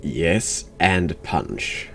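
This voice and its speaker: A man with an extremely low voice gives casual, deadpan replies as he plays a horror game. He takes his time, with a slow drawl and lively swings in pitch. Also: creaky, soft background music. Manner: dry, deadpan. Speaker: video game player reacting as host.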